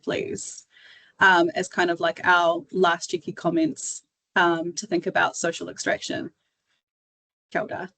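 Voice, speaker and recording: A woman's voice: lowish voice, gravelly, a bit bright. A woman with a New Zealand accent giving an informal slide presentation as a webinar presenter.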